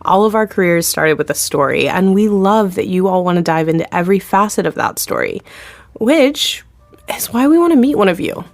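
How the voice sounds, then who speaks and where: A friendly producer guest in an audio drama, her voice pitched mid-range and her chat easy, with a giggle and a conspiratorial tone. Light music plays behind her. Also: lively intonation, moderate pace, gravelly, dry recording.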